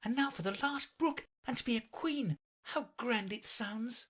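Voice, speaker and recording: A woman's voice: a little high, unhurried, fairly bright tone, voice pushed up. A woman voicing a polite, earnest young girl with a slightly timid manner. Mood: earnest girlish.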